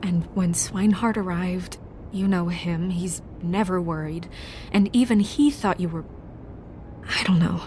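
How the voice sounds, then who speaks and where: A woman playing a stern but caring leader in a video game, reserved and proper, with measured, careful delivery. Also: on the low side, darkish tone, a little hiss or hum, natural voice. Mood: shaken, tender.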